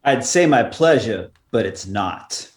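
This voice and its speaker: A man's voice: fairly low pitch, lively intonation, slow pace. A man playing a sour, money-minded racetrack bookie with a blunt, sardonic manner in a comedic radio play. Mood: sardonic, unimpressed.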